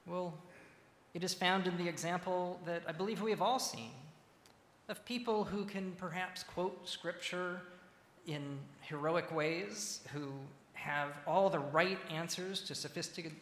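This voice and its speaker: A man preaching a sermon as a church minister, speaking in measured, deliberate phrases with pauses. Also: high voice, fairly bright tone. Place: large reverberant church interior. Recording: echoey, reverberant hall with faint noise.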